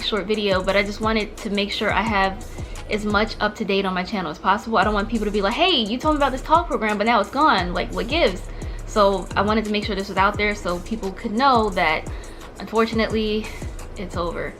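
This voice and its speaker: A young woman vlogging in a casual, chatty way, peppering her talk with fillers and restarts. Music plays clearly behind her voice. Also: mid-range voice, fast talker, fairly bright tone. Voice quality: chatty, many likes and restarts. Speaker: vlogger, former teacher. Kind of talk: casual chat to camera.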